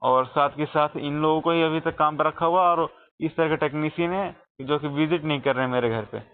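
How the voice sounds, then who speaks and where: A man's voice: fairly high pitch, a little fast, smooth. Insistent Indian man on a tinny phone line. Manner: insistent, repetitive, aggrieved. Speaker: customer calling support with a complaint. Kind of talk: phone complaint call. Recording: tinny phone call recording.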